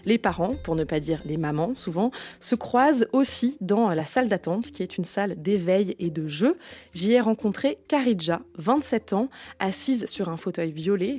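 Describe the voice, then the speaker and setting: A woman's voice: mid-range voice, mellow. A woman radio reporter explaining what she saw in a prepared report.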